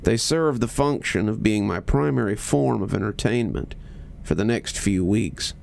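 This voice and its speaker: A man reading a scripted horror story in measured, deliberate phrases with pauses. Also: fairly low pitch, creaky, darkish tone.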